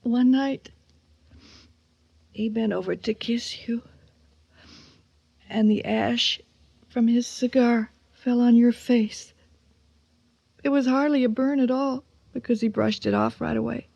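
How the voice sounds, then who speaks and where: A bitter, haunted mother in a radio play. Her middle-aged voice is creaky and close in a dry space, halting and heavy with old resentment and dread. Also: on the high side, steady tone, darkish tone, no echo, muffled recording, exaggerated voice. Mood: wistful, brooding. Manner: halting, breaking into whispers and cries. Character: bitter, haunted mother of a son she fears. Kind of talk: dramatic radio monologue acting.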